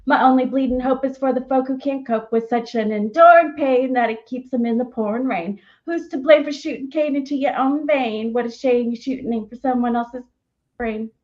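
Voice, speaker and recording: A woman with a fairly high voice recites a rap in a steady, declaiming rhythm. The recording sounds a little dull and muffled. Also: normal speed.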